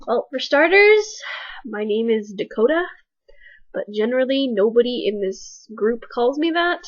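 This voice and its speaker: A woman's voice: medium pitch, dry recording. A young woman with allergies, her voice stuffy and sick, introducing herself awkwardly and self-deprecatingly with lots of fillers. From Texas. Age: young adult. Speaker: college student vlogger. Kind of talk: casual self-introduction vlog. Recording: dull, lo-fi webcam audio.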